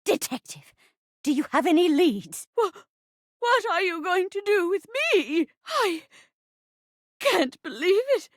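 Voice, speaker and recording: A woman voicing a theatrical old woman who is a living chair in a video game, with stagey gasps and hushed asides. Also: sing-song, slow, no room sound, studio quality, heavily acted. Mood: horrified, hammy. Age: older. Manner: stagey, gasping, swooping from hushed asides to high cries. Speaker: datable game character. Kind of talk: video game voice acting, murder-mystery melodrama.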